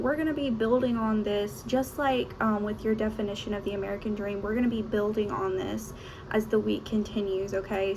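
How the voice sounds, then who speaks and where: A woman's voice: mid-range voice, noisy background. Woman teacher giving classroom instructions. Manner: upbeat and encouraging, with frequent "um"s. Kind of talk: classroom instruction, practice lesson.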